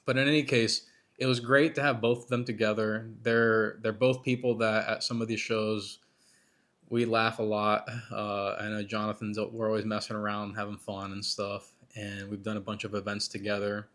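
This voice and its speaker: A man hosting a podcast, talking casually and a bit self-critically with lots of restarts, his voice worn and hoarse from being sick. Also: fairly low pitch, level tone, moderate pace, a bit bright. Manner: rambling, self-critical, many restarts and fillers. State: losing his voice, getting over being sick. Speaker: podcast host. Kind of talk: casual solo commentary between interview segments.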